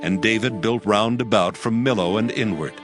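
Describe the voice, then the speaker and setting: Male narrator, lowish pitch, solemn, measured scripture reading, audiobook, music underneath.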